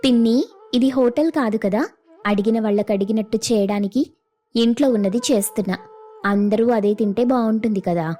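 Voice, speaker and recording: A woman's voice: on the high side, moderate pace, warm tone, faint music behind, close to an everyday voice. A woman voicing an irritated, outspoken young daughter-in-law in a family story, blunt and indignant.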